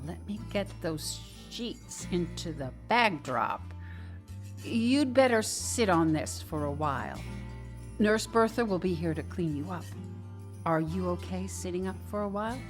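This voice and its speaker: An actress playing a kindly, wry care worker in a radio drama, reassuring and gently teasing. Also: female voice, lowish voice, lively intonation, unhurried, faint music behind.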